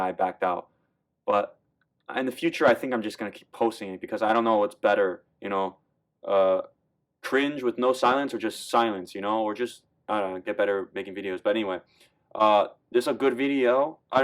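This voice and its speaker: American male YouTuber, slightly low voice, casual rambling explainer. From United States. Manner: loose and rambling, restarting sentences. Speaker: YouTuber explaining German rap lyrics.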